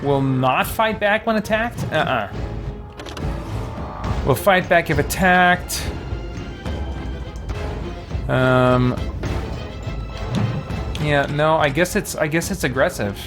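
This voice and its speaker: A man's voice: a little high, slow pace, pauses often. Man live-streaming a game over music. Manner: muttering to himself, mild exclamations. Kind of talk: casual live-stream gameplay chat, reading on-screen text aloud.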